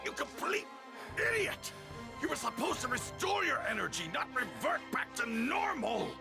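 An actor playing a proud, impatient alien warrior in an anime video game, barking orders scornfully in a gravelly, strained voice. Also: male voice, very high-pitched, big pitch swings, moderate pace, full character voice. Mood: furious. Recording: music under the voice.

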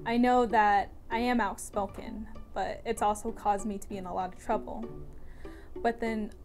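A woman's voice: mid-range voice, steady tone, normal speed, darkish tone, faint music behind. A teenage girl in a documentary interview on a lapel mic, speaking earnestly and searching for words. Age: teens. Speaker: student interviewee.

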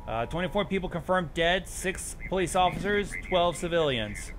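A man's voice: sits high, lively intonation, moderate pace, a bit bright. Man reading aloud, faint background noise. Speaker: host of a reaction show. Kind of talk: reading on-screen text aloud with commentary.

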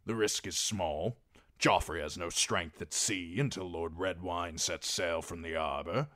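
A man voicing a stern, grim fantasy king in an audiobook, measured, curt and authoritative. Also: sits low, fairly even intonation, sharp tone. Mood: cold, measured.

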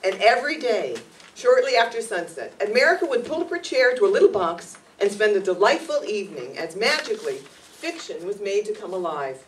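A woman's voice: medium pitch, very animated, brisk. A middle-aged woman presenter reading scripted narration to introduce a radio play to an audience in a room. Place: meeting room stage, indoors. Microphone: far camera. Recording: distant camera mic, background noise.

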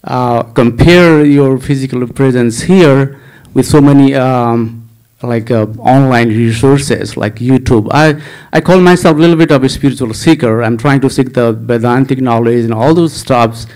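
A man's voice: mid-range voice, a little mellow, low-quality recording. A man from Nepal with a Nepali accent, politely and hesitantly asking a question as an audience member.

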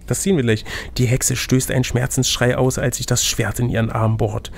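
Guy running a gamebook, gravelly mid-pitched voice, reading bits out and chatting, some background noise. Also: flat delivery, a little fast. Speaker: game master reading a gamebook aloud. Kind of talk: scripted narration mixed with casual chat.

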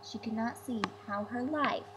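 A woman reads a fairy tale aloud in storybook style. Her voice sits a little high, and there is faint noise behind her on the recording. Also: unhurried. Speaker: storybook reader.

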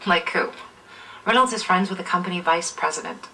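A woman's voice: fairly low pitch, a little fast, bright, forceful tone, slight background noise. A woman acting as a harassed, resigned order clerk in a workplace training drama. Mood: resigned, frustrated. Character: harassed, resigned female order clerk, anxious about her career. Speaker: actor in a training film. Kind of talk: acted corporate training drama. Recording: distant, re-filmed off a TV screen.

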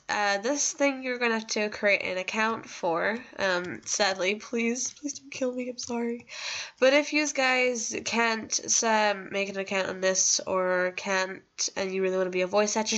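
A woman's voice: medium pitch, fairly bright tone. A young woman chatting casually over gameplay, rambling, self-deprecating and reassuring, recorded on a home computer microphone. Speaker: gaming video creator.

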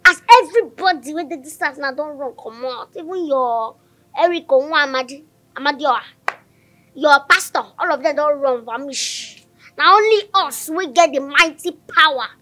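Casting: defiant young Nigerian daughter, extremely high voice, taunting and bossy, for a comedy film. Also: female voice, normal speed, sharp tone. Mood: cocky, commanding. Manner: cocky, taunting. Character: bratty, defiant young daughter bossing her parents around.

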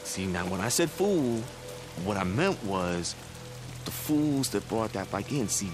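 Low-voiced, chatty camp mechanic. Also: male voice, sing-song, unhurried, music in the background. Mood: nervous, backpedalling. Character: chatty, evasive camp mechanic, small-time hustler. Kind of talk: naturalistic cinematic game acting.

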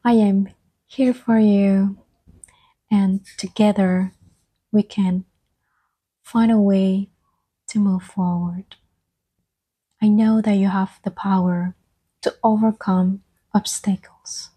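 A soft-spoken woman gently guiding an ASMR meditation, breathy and often slipping toward a whisper, with soothing, unhurried phrasing. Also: medium pitch, fairly even intonation. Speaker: ASMR guide and host.

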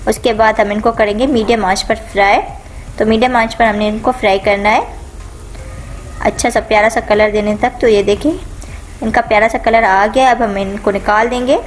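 A woman hosting a home cooking channel, calmly talking viewers through each step of a recipe in a voice-over. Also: medium pitch, a little fast, faint music behind, low-quality recording. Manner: calm, instructive, repeats each step.

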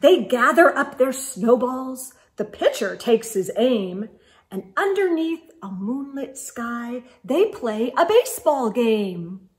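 A middle-aged woman hosting a children's storytime, reading a picture book aloud in an expressive, sing-song way and talking directly to her young listeners. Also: fairly high pitch, slow pace. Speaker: host of children's storytime show. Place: indoors in a room lined with bookshelves.

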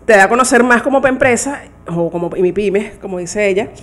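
Fast-talking woman radio host. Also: mid-range voice, fairly bright tone. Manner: chatty, informal. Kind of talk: casual radio interview. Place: small radio studio, indoors.

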